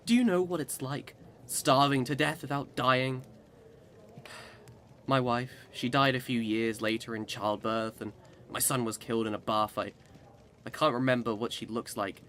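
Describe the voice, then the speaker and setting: A man's voice: medium pitch, steady tone, moderate pace, a bit bright, slight background noise, lightly acted. A man acting in a radio drama as a boastful immortal Englishman who swings between cheer and despair, with theatrical old-time speech. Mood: bitter, despairing.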